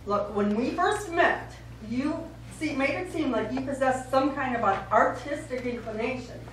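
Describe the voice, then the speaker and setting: A woman's voice: sits high, moderate pace, close to an everyday voice. A woman on stage playing an earnest, argumentative wife with an emphatic, wordy delivery. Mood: irritated, insistent. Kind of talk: live stage play acting. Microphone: far camera. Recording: very echoey stage, distant mic, noisy.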